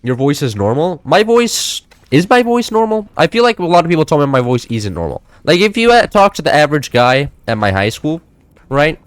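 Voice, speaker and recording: A young man in his late teens chatting loosely while playing a game, rambling with many fillers and restarts, his voice a little high for a man. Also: big pitch swings, a little fast, smooth, dry recording. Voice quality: slightly high-pitched for a man. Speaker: gameplay streamer. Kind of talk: casual chat while playing.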